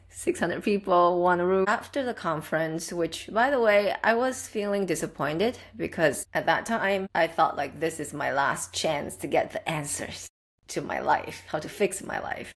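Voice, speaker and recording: A young adult woman from the Czech Republic, a guest telling a personal story in an earnest, reflective, conversational way. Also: fairly low pitch.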